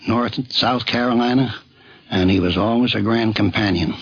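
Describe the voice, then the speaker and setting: Husky, creaky mature man reminiscing. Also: on the low side. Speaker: hunting companion, interviewee. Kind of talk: prepared reminiscence. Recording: dull 1950s radio recording.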